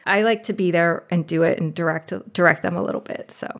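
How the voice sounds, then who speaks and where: A woman's voice: fairly low pitch, brisk. Chatty woman laughing as she talks. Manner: animated, chatty, laughs often. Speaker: podcast guest, audio drama producer. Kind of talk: casual interview chat.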